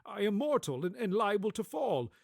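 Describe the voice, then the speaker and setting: A man's voice: sits high, sing-song, normal speed, vocal fry, no echo, studio quality, voice pushed up, exaggerated voice. A man playing a fretful, bewildered old miser in a storybook radio play, querulous and hesitant. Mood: pleading, moved.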